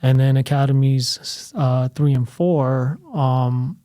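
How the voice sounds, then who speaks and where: Rambling man with a mellow voice. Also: medium pitch, steady tone, slow, dry recording, studio quality. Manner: rambling, frequent "you know" fillers. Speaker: guest, fire academy training cadre member. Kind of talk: conversational podcast interview.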